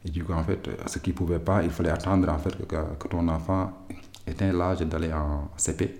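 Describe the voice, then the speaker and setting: A man's voice: deep voice, steady tone, brisk, a little mellow. A man from Senegal with a Senegalese French accent, recalling his past in an interview, hesitant and full of fillers and restarts. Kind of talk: personal recollection.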